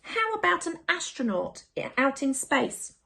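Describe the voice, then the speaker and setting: Woman acting an eager, high-voiced young child. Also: big pitch swings, unhurried, vocal fry, sharp tone, a little hiss or hum, exaggerated voice. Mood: curious, questioning. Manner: eager, questioning. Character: frightened, curious young twins, a boy and girl. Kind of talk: children's picture book read aloud.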